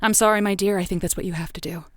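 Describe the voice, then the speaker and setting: A waffling advice host, played by a woman in an improvised comedy call-in show, stammering and hedging through every answer. The voice sounds close and dry, at a middle pitch. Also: steady tone, rapid-fire, no echo, lightly acted. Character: hesitant female radio advice host.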